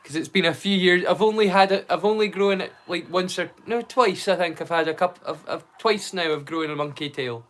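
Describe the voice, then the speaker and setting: Higher-voiced man rambling casually on a livestream. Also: fairly quick, few pauses, a bit bright. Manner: rambling, stop-start, repeats words mid-sentence. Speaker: livestream host. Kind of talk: casual livestream chat with viewers.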